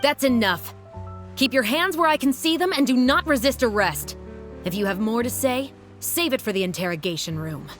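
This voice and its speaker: Woman acting a stern, animated patrol captain. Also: a little high, normal speed, faint music behind, natural voice. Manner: authoritative, formal, declamatory. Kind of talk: dramatic video game voice acting.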